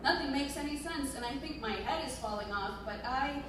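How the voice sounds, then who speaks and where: Woman for live story reading: theatrical and deliberate, fairly high voice, recorded in a very echoey hall with some background noise. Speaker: performer reading a short story aloud. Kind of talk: dramatic first-person literary reading. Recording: very echoey room, distant mic, noisy.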